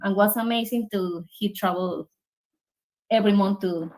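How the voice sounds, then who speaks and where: A woman from Mexico City with a Mexican Spanish accent, a guest speaking halting non-native English on a remote video call. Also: mid-range voice, darkish tone, poor audio. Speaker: guest, player's mother. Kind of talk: casual interview.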